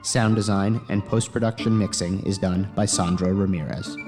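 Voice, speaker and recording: A man's voice: deep voice, fairly even intonation, moderate pace, over music. A man reading scripted closing credits as an announcer.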